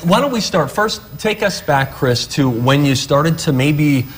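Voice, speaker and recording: A man's voice: a little high, expressive, unhurried, crowd noise. A man hosting a conversational television interview, chatty and encouraging as he puts questions to his guests. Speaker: talk show host.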